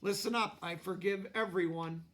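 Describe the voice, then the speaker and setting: A man's voice: high-pitched, fairly even intonation, moderate pace, fairly bright tone, a little hiss or hum. A man voicing a kindly, forgiving male schoolteacher in a storybook reading.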